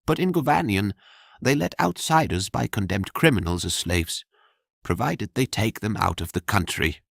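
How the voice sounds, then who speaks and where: Brief: man voicing a blunt young maid, deep harsh voice, gravelly edge, audiobook. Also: lively intonation, normal speed, no room sound, studio quality. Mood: bitter. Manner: blunt, sharp-tongued.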